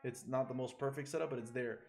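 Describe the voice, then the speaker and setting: A man from Chile, a forex trader chatting casually and excitably with his live viewers, swearing freely. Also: mid-range voice, fast talker, vocal fry. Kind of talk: casual livestream commentary. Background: faint music.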